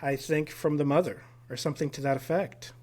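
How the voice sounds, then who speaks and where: A man being interviewed as a guest researcher on a podcast. He pieces his memories together haltingly, with plenty of fillers and restarts. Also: on the high side, steady tone, a little mellow. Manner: recalls details haltingly with many fillers. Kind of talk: casual podcast conversation.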